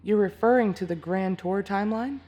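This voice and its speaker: A woman's voice: mid-range voice, moderate pace, warm tone, highs cut off, close to an everyday voice. A woman playing a serious, skeptical, proud female warrior who speaks formally and guardedly in an anime fan drama.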